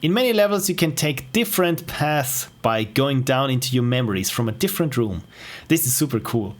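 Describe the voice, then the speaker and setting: A man's voice: medium pitch, expressive, brisk. A man streaming and playing indie games, reading the game text aloud and eagerly repeating his praise.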